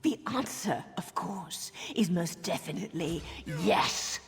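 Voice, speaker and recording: A woman playing a sly, drily witty assassin in a video game, with a husky voice and a teasing, theatrical manner. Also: fairly low pitch, lively intonation, slow, faint music behind. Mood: teasing menace. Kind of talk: video game character dialogue.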